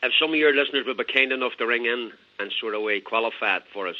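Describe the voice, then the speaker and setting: A man's voice: mid-range voice, fast talker, no room sound. A man with a Northern Irish accent calling in to a radio show, chatting in an easygoing local way. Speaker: phone-in caller. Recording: phone line.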